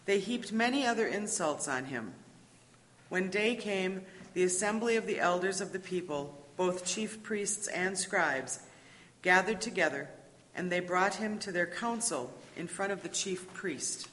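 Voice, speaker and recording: A narrator delivers a dramatic scripture reading in a mid-pitched voice. Each sentence trails into the long reverb of a large hall. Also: expressive, a little slow, fairly bright tone.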